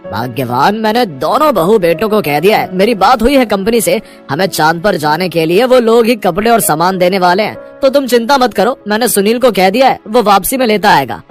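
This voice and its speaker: Guy as a rich older boss man in a cartoon, friendly, emphatic, pitch swoops around, music behind. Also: lively intonation, fairly quick, hardly any pauses. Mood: reassuring. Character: rich, grateful, talkative older businessman. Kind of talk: animated moral-story cartoon dialogue.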